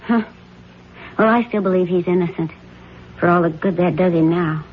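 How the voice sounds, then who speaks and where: An actress playing a middle-aged wife in a radio drama, earnest and conversational, gently persistent in her doubts. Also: fairly low pitch, moderate pace, lightly acted. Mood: concerned, doubtful. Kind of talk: 1970s radio drama acting. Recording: muffled, noisy old radio broadcast.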